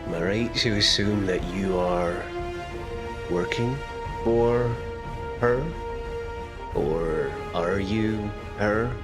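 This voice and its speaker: A man's voice: fairly low pitch, drawn-out words, long pauses. A man playing his character in a tabletop game, talking in a guarded, wary way. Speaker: player. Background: music score.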